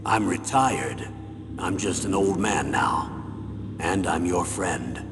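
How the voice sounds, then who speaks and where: Deep-voiced, earnest old retired colonel. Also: male voice, lively intonation, heavily acted. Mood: pleading. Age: older. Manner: measured, earnest, military briefing delivery. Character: weary older retired colonel, earnest commander and friend. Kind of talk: video game voice acting. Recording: very reverberant hall, loud background noise.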